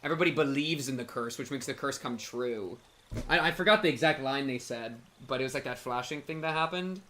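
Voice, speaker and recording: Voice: mid-range voice, fairly quick, bright, forceful tone. A streamer giving casual, wry, slangy reaction commentary and reading game narration aloud. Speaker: streamer playing and reacting to a visual novel.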